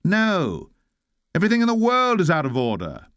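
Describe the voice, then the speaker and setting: A man's voice: high-pitched, huge pitch swings, fairly bright tone, clear, resonant tone, no echo. Solemn man delivering a dramatic sermon. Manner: solemn, exhorting, rhetorical questioning with weighty pauses. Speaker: reader of a historic sermon. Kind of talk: dramatic sermon reading, oratorical.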